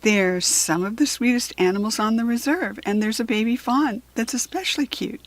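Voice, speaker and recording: A woman's voice: on the high side, moderate pace, dry recording, close to an everyday voice. An American woman voicing a friendly female head ranger in a storybook, cheerful and explanatory.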